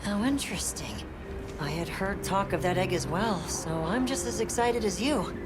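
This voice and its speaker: A man's voice: sits high, moderate pace, loud music behind the voice, natural voice. An actor playing an inquisitive, analytical male field researcher in a video game, explaining things thoughtfully. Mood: intrigued, concerned. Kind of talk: video game cutscene acting. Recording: clear ambient noise behind the voice.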